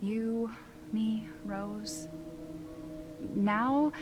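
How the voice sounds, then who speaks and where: A woman's voice: on the high side, drawn-out words, over music, poor audio. A woman acting a troubled, pleading young wife and mother in a video game, earnest and emotionally strained. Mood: reassuring, uneasy.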